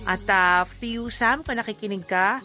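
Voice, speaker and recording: A woman's voice: medium pitch, moderate pace, bright, forceful tone, soft background music. A woman with a Filipino accent co-hosting a radio show, chatting casually and giving relationship advice in a playful, teasing, slangy way, switching between languages. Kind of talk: casual relationship advice chat with Tagalog-English code-switching.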